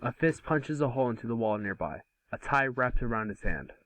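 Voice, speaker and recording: A man's voice: mid-range voice, expressive, brisk, a bit bright, no room sound, poor audio. A man reading scripted scene narration informally at an amateur table read. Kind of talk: scripted narration of stage directions.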